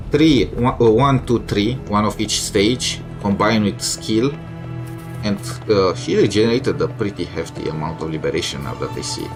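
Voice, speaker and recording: Low-voiced man giving casual game commentary. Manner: thinks aloud, mildly complaining. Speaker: gamer streaming a playthrough. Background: game music.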